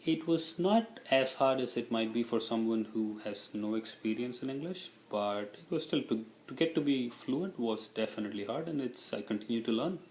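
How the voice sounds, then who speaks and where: A man's voice: mid-range voice, animated, normal speed, hardly any pauses, darkish tone, reverberant, slight background noise, rough recording. A middle-aged man from India, a native Tamil speaker with an Indian accent, answering interview questions in a casual, reflective way.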